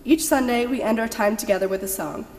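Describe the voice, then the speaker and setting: A woman's voice: on the high side, a little fast, a little mellow. Young woman, prepared announcement, hall reverb, faint background noise. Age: young adult. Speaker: youth service song leader. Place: large church sanctuary. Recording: hall reverb, noisy room.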